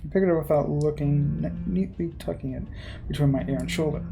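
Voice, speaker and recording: A person reading visual novel narration aloud, breaking off for informal, halting commentary. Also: mid-range voice, creaky, poor audio.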